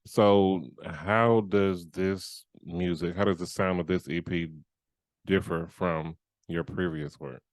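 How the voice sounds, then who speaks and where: A man's voice: sits low, steady tone, slow, dry recording, studio quality. A man co-hosting a podcast, easygoing in casual interview chat, often chuckling. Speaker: podcast co-host.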